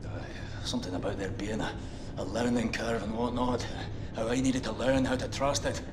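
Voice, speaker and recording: An actor playing a gruff, weary Scottish resistance commander who grumbles and swears in a video game. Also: male voice, fairly even intonation, moderate pace, lightly acted. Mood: weary, aggrieved. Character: gruff, foul-mouthed, weary resistance commander, grown man. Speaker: resistance fighter. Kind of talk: dramatic game cutscene acting. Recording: loud background ambience and rumble.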